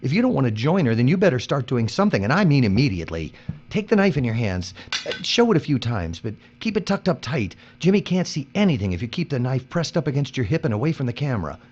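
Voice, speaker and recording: An actor playing a hard-nosed, pragmatic captive worker in an audio drama, terse and urgent. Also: male voice, medium pitch, sing-song, fast, a little mellow, a little hiss or hum, natural voice. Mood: urgent, commanding. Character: hard-nosed, pragmatic male captive worker keeping his partner alive. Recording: dull, muffled recording.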